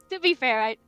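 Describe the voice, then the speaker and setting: A woman's voice: high voice, fairly bright tone. A woman chatting casually as a player in a tabletop game, in a playful, giggly manner. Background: music.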